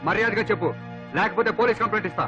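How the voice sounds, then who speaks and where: A man's voice: high voice, rapid-fire, natural voice. An actor in a comedy film playing a sly young con man who turns indignant when challenged. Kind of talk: Telugu comedy film acting. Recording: dull film soundtrack with music behind.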